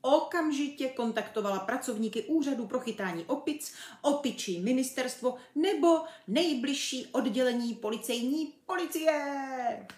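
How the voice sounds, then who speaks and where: A woman's voice: high voice, expressive, darkish tone, voice pushed up. A woman voicing a cheeky, boastful young male chimpanzee for a children's story, chatty and brash. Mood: mock announcing. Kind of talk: children's story character reading. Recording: roomy echo.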